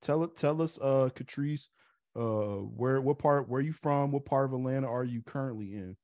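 A man's voice: medium pitch, moderate pace, mellow, no room sound. A man in his thirties hosting an online meetup from a room at home, talking in a casual, easygoing way with restarts and uhs. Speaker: host.

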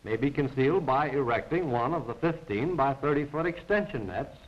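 A male narrator delivers scripted military instruction in a formal, precise way. His medium-pitched voice moves with lively intonation. The sound is dull and limited, like a 1940s film soundtrack. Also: fairly quick. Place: voice-over recorded apart from the pictures.

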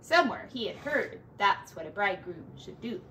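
A middle-aged woman reading a picture book aloud to children like a teacher, with deliberate, drawn-out words and little asides. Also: medium pitch, big pitch swings, pushed and bright, a little hiss or hum. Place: small furnished room at home.

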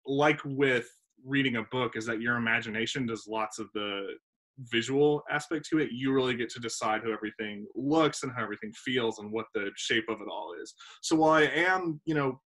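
A man's voice: medium pitch, brisk, fairly bright tone. Chatty man on a video call. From South Carolina. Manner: chatty and self-deprecating, with many ums and uhs. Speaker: theater director and playwright. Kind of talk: casual video-call interview. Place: home room with windows. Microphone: video call laptop mic.

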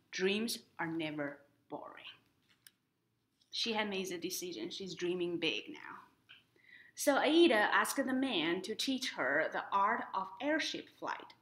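Young female storytime reader, slow and expressive, very animated melody, mid-range pitch, explains as she goes. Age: young adult. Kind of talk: children's picture book read-aloud with explanatory asides. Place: indoors in front of a painted woodland mural.

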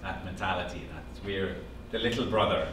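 A man's voice: low voice, moderate pace. Norwegian man telling a story in an echoey room. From Norway. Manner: animated storyteller, quotes family dialogue, gestures while talking. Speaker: speaker telling his story. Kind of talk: storytelling talk to a small audience. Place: classroom-sized meeting room with projector screen. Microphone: far camera, no visible mic. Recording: echoey room from far off, background hum.

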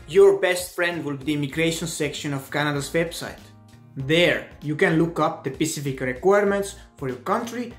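A young man from Estonia with an Estonian accent, giving earnest, deliberate advice in a scripted vlog, talking with his hands. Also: on the high side, roomy sound. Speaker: vlogger.

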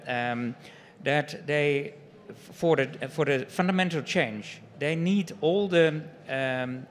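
Halting dutch man in a reverberant hall. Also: medium pitch, fairly bright tone, slight background noise. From Netherlands. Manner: halting, many fillers. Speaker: panelist. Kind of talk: panel discussion.

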